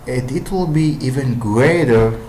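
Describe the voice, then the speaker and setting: A man's voice: mid-range voice, measured pace, a little mellow, a little hiss or hum. A man with an Israeli accent and Hebrew as his first language, giving language-learning advice in a hesitant, casual monologue full of fillers and restarts. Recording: roomy echo.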